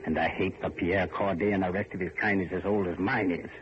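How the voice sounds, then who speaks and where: An actor playing a bitter, menacing old servant full of hatred in an old-time radio horror drama. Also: male voice, low voice, steady tone, lightly acted. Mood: bitter taunting. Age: older. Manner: menacing, taunting, drawn-out emphasis. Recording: muffled, noisy vintage radio recording.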